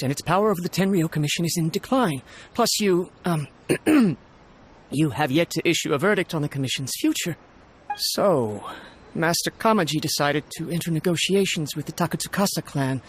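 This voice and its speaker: An actor playing a nervous, loyal young retainer in a video game, polite, apologetic and hesitant. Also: male voice, a little high, expressive, moderate pace, slight background noise, close to an everyday voice. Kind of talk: video game character acting. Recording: music playing behind.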